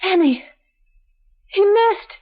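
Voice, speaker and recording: 1940s radio ingenue: loyal, distraught American moll, extremely high-pitched pleading, dull vintage recording. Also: female voice, animated, very slow, background noise, full character voice. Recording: muffled, thin old radio recording.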